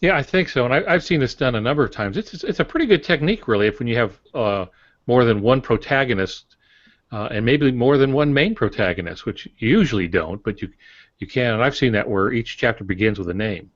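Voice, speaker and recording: An older man co-hosting a writing podcast, explaining in a measured way with hesitant fillers. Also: mid-range voice, animated, dry recording. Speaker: podcast co-host. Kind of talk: casual writing craft explanation. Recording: dry, dull lo-fi audio.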